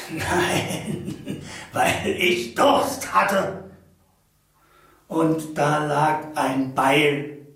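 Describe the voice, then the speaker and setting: An actor playing a sardonic, mocking prisoner and confessed killer in a theatrical radio play. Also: male voice, on the high side, gravelly, fairly bright tone, full character voice. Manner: slow, mocking. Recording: echoey room, far from mic.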